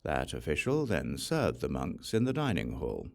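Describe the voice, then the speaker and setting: A very low male voice with a gravelly creak reads an ancient scripture aloud. He narrates in a deliberate, measured storytelling manner, with lively rises and falls in pitch. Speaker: audiobook reader.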